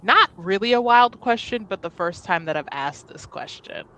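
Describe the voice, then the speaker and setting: A woman's voice: mid-range voice, lively intonation, normal speed, fairly bright tone. A woman playing a tabletop roleplaying game, chatting casually and hesitantly as she thinks aloud about her character. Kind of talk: casual improvised roleplay chat.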